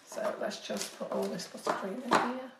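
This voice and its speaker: A woman in her fifties giving a live cake decorating lesson from her home kitchen, talking chattily to viewers, her voice a little squeaky from a cold. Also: fairly even intonation, moderate pace, recorded from a distance, very noisy. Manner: chatty, instructive, addresses viewers directly. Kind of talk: live-streamed baking demonstration. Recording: echoey kitchen, voice often off-mic.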